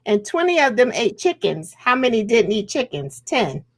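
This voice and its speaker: A woman's voice: a little high, very animated, moderate pace. A woman from Alabama with an Alabama accent, hosting a casual chat and teasing her guest with lots of laughter. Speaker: host.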